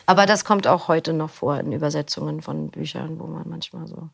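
A woman's voice: deep voice, steady tone, fairly quick, vocal fry, husky, dry recording, studio quality. A middle-aged woman answering interview questions in a thoughtful, rambling way, often restarting her sentences. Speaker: interview guest, audiobook narrator.

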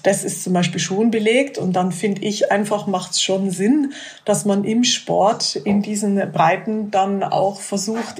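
Woman telling anecdotes. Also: medium pitch. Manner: lively anecdotal storyteller with hesitant fillers. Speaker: physiotherapist of a national bobsleigh team. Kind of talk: casual podcast interview.

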